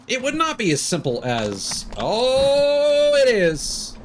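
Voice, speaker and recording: A man's voice: very high-pitched, huge pitch swings, slow pace, a little hiss or hum. A man doing casual live game commentary, thinking aloud and muttering to himself with the odd mild swear. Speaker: video game live streamer.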